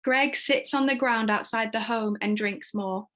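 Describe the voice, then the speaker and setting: A woman's voice: fairly high pitch, fairly even intonation, unhurried, fairly bright tone. A woman giving scripted narration, reading out screenplay stage directions. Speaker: stage-direction reader and host.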